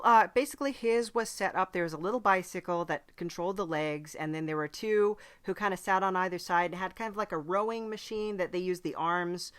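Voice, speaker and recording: A woman's voice: fairly low pitch, clean tone, natural voice. A woman voicing a chatty demon from hell in an improvised comedy podcast, hesitant and politely earnest as she rambles. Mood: earnest, wistful. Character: chatty demon from hell who visits the human world. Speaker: podcast host. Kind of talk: improvised in-character comedy interview.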